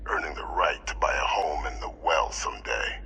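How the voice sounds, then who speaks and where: An actor playing a smooth, scheming older male villain in a video game, speaking formally and persuasively with breathy phrase endings. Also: very deep voice, moderate pace, bright, forceful tone, exaggerated voice. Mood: calm, persuasive. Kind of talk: video game character dialogue.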